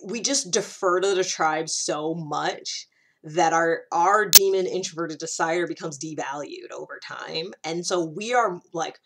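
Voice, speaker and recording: Woman vlogger with a lowish voice, explaining stuff casually, kinda thinking out loud as she goes. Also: unhurried, slight background noise. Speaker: personality typing vlogger.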